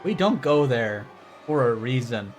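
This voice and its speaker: A man's voice: mid-range voice, animated, measured pace. A man streaming a game with casual, rambling commentary, wry and casually profane. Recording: stream with game music behind.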